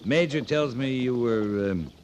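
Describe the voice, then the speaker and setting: A man's voice: medium pitch, expressive, measured pace, a little mellow, lightly acted. A man acting a dry, courteous frontier cavalry captain in an old radio western, speaking with polite, understated hesitation over faint noise and horse hooves. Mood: polite, hesitant.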